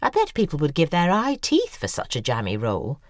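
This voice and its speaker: A self-obsessed woman novelist in an audio drama, with a low, highly animated voice. She is worldly and persuasive, speaking in theatrical, articulate flourishes. The sound is dry and close. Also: fairly quick, no echo. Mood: coaxing, effusive.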